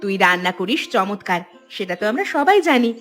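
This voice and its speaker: A woman's voice: medium pitch, very animated, a little fast, fairly bright tone, soft background music. A woman voicing a determined, fed-up village daughter-in-law in a cartoon, speaking in an earnest, resolute way. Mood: firm reassurance. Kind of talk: cartoon voice acting.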